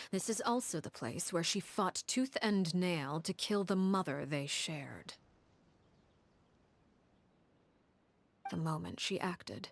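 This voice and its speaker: Casting: cold, commanding game harbinger woman, low-pitched, hushed and breathy, measured storyteller. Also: no room sound. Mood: cold, resolute. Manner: measured, solemn, deliberate. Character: composed, cold, commanding woman harbinger telling her grim past. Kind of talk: dramatic storytelling in a video game.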